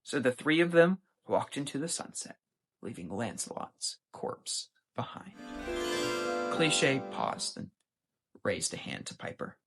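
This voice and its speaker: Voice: medium pitch, very animated, a little slow, music in the background. A teenager from the United States with an American accent, giving a dramatic scripted reading of a short story. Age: teens. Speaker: young writer reading own story.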